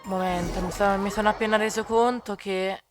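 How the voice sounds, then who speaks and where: A man's voice: high-pitched, fairly even intonation, fast. A man from Italy giving casual gameplay commentary as a gaming video creator. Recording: light game music behind.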